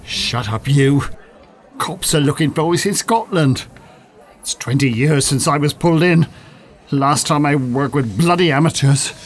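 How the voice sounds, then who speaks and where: A man's voice: on the high side, unhurried, natural voice. A man playing a pompous, evasive Irish hypnotist and con artist in an audio drama, speaking formally. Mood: angry.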